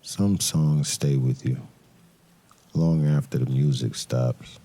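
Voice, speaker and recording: An actor playing a weary, brooding detective who narrates his case in an audio crime drama with somber, deliberate pauses. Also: a bass voice, steady tone, mellow, exaggerated voice. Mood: grave, brooding.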